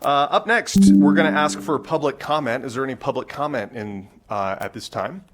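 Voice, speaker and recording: A man chairing a board meeting, casual and halting, with many fillers and repeated words. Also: medium pitch, loud music behind the voice.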